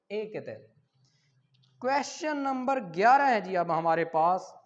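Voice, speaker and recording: A woman with a Pakistani accent teaching like an exam tutor, reading out questions and explaining the answers in a didactic way. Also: fairly high pitch. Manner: didactic, poses questions then answers them, repeats key points. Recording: muffled lo-fi sound, long hall-like reverb.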